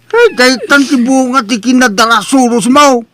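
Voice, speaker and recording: Man acting very high-pitched, scolding relative. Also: normal speed, sharp tone. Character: worried, scolding male relative of a gullible woman. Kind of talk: Ilocano radio-style comedy drama acting.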